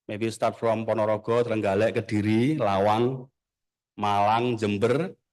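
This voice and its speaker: A man with an Indonesian accent giving a formal welcome speech at an event, in halting English with long pauses between phrases. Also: fairly low pitch, fairly even intonation, a little mellow. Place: event hall.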